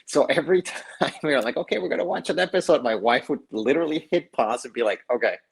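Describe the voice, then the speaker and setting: A man's voice: medium pitch, fast, vocal fry, husky. A man from Mexico, an actor guest in a casual interview, telling stories animatedly and acting out the voices of people he quotes, with frequent laughs. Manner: animated storyteller who acts out quoted voices, laughs often.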